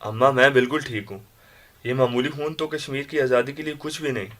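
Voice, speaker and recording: An actor playing a brave, injured son in a radio drama, speaking in a hurried, urgent way. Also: male voice, medium pitch, fairly even intonation, fairly bright tone, natural voice. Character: brave, injured adult son, defiant and reassuring.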